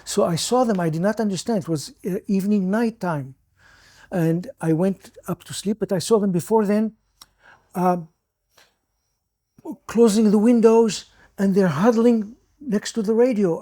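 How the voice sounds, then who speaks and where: An older man born in Iraq, whose first language is Judeo-Arabic, recalling his childhood as an interview guest in halting speech full of restarts. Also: sits high, dark tone. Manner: halting, many restarts and repeated words. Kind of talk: personal recollection.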